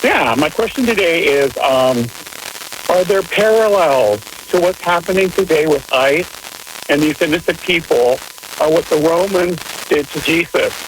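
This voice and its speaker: A man calling in to a radio show, speaking casually and tentatively with hesitant, halting phrasing. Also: a little high. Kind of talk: casual, tentative call-in question. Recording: noisy radio broadcast with static.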